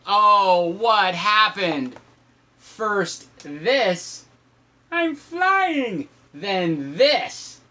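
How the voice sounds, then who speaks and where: Animated, high-voiced man reading a storybook. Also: drawn-out words. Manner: acts out shouts and sound effects, teasing. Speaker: father reading a picture book aloud to his daughter.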